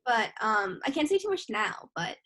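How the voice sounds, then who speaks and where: A woman's voice: on the high side, very animated, moderate pace, bright, forceful tone. A young woman in a casual chat, hesitant and giggly as she thinks aloud. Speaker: co-host.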